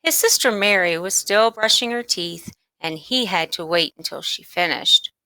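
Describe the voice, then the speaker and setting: A woman reading a children's book aloud as scripted narration, in an expressive, emphatic way. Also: lowish voice, normal speed, dry recording, poor audio. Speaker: storybook reader.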